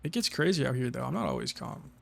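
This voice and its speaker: A man giving casual, calm commentary while streaming a shooter game, with a low-key delivery that shows little emotion. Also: fast, creaky.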